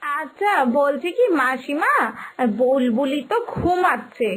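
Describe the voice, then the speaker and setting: A woman voicing a fretful, soft-hearted young village mother in an animated moral story, polite and anxious, with an expressive, deliberate delivery. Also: high voice, slight background noise, rough recording. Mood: polite, evasive.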